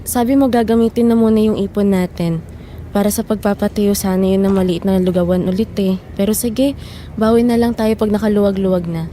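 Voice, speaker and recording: A woman's voice: mid-range voice, fairly even intonation, a little fast, warm tone, slight background noise, lightly acted. A woman playing a weary, frustrated wife who worries over household money in a Filipino audio drama. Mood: resigned, reluctant. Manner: complaining, pleading, emotive.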